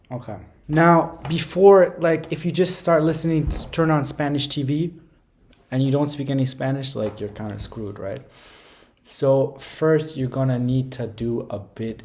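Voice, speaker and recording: A man's voice: medium pitch, a little mellow. A man giving casual, rambling how-to advice, speaking informally and emphatically and often repeating phrases for stress. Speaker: language-learning video creator.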